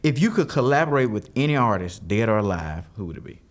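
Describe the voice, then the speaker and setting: Lively laughing male interview host. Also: lowish voice. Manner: laughs often, quick questions, playful asides. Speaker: podcast host. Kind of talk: casual interview.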